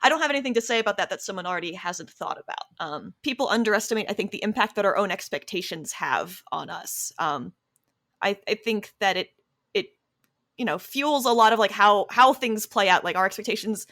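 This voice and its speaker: A woman's voice: mid-range voice, lively intonation, brisk, a bit bright, no echo, studio quality. An American woman chatting casually in an interview, thinking aloud in a hesitant, self-reflective way. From Delaware County, United States. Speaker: interviewee and interviewer, podcast cast member.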